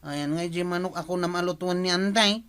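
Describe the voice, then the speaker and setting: Bright, excitable young nephew. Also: male voice, high-pitched, brisk, clear, resonant tone. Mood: teasing, startled. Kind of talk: comic radio drama acting.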